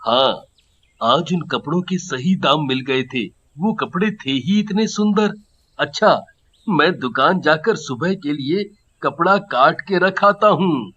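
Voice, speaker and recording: A man voicing a humble, earnest family tailor in a cartoon story, speaking deliberately. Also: a little high, animated, sharp tone, slightly rough, muffled recording, exaggerated voice. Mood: satisfied. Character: humble, earnest married tailor, a kindly father. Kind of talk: Hindi cartoon story dialogue.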